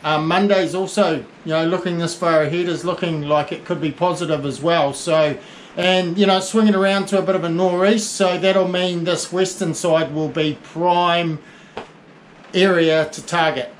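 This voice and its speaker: New shop owner, forties, fairly high voice, chatty and rambling with fillers, small shop with a faint hum behind. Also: male voice, steady tone, normal speed, poor audio. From New Zealand. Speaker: fishing shop owner giving a fishing report. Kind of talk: casual spoken fishing report. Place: small indoor tackle shop by a window.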